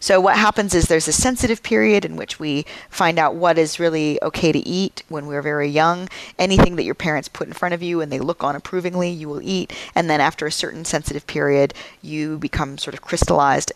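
A woman's voice: deep voice, fairly even intonation, a little fast, no room sound, low-quality recording. A woman being interviewed as a guest on a podcast, explaining her research in a thoughtful, articulate way. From United States.

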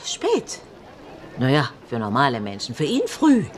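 A woman's voice: very deep voice, wildly swooping pitch, measured pace, slight background noise, full character voice. An actress playing a gossipy, wary old landlady in a radio play, chatty and mocking. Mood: chatty, sly.

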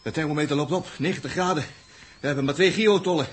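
A man's voice: fairly high pitch, fast talker, lightly acted. An actor playing a flippant, wisecracking space crewman with jokey, colloquial delivery in a 1960s Dutch radio drama. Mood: sardonic. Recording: old noisy 1960s radio recording.

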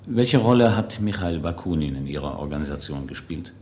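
An actor playing a formal, inquiring reporter in a period radio drama, husky, measured and politely probing. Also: male voice, rumbling low, expressive, darkish tone, exaggerated voice. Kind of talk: 1970s German radio drama acting.

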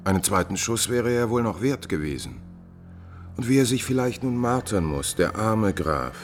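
Scheming male courtier, played by a man, low-voiced, cold-blooded and coaxing, deliberate delivery, vintage 1950s radio drama. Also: lively intonation, a little hiss or hum, close to an everyday voice. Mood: coldly mocking.

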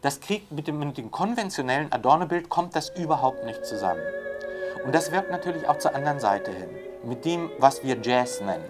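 Rambling speaker over soft music. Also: medium pitch, animated, a little fast. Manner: rambling with tag questions and fillers. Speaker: interviewee. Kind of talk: discursive spoken commentary.

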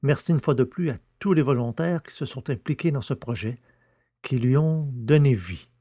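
A man's voice: medium pitch, normal speed, mellow. A man presenting a podcast as host and narrator, reading scripted credits and announcements.

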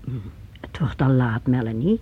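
Weary nervous mum, middle-aged, husky n up-and-down voice, hesitant, muffled old radio drama. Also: female voice, very deep voice, expressive, moderate pace, exaggerated voice. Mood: weary, kindly firm. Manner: hesitant, murmuring. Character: nervous, weary mother who teaches music at home. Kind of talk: radio play acting.

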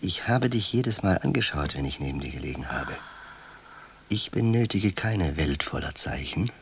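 An actor in a German radio play, playing a middle-aged, world-weary rich man with a husky voice and a languid, musing manner. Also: low voice, animated, moderate pace, a little hiss or hum. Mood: tender, resigned.

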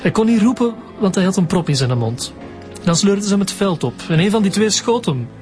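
A man's voice: high-pitched, lively intonation, brisk, darkish tone, faint music behind. A man playing a defensive, nervous young witness in a Flemish radio drama, hesitant and evasive. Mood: uneasy. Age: young adult.

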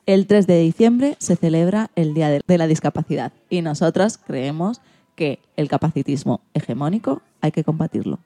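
Spanish woman presenting, mellow lowish voice. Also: expressive, moderate pace. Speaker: host. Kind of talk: scripted programme presentation.

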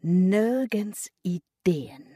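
A woman's voice: mid-range voice, very slow, warm tone, slightly rough, no echo, studio quality. A woman playing a haughty, fussy, disdainful passenger in a radio play, with an imperious and affected manner. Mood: disdainful, bored. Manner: imperious, affected, easily scandalised. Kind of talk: German radio play dialogue.

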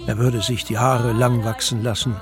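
A man's voice: mid-range voice, flat delivery, moderate pace. A man narrating a scripted audio drama. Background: music.

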